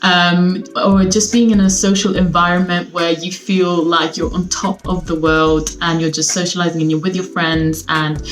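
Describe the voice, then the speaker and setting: A young woman from Sweden talks straight to her viewers in a relaxed, upbeat home vlog. Her voice sits a little low for a woman. She chats in a loose, rambling way with plenty of fillers, and faint music plays underneath. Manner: chatty, upbeat, lots of fillers. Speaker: vlogger. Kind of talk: casual chat to camera. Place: at home, seated.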